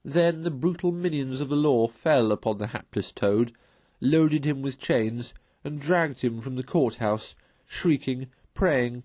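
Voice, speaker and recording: A man tells a story in expressive read-aloud narration. His voice sits at a medium pitch, and the recording is dry and close, with very little room sound. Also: no room sound. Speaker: storyteller reading a novel aloud.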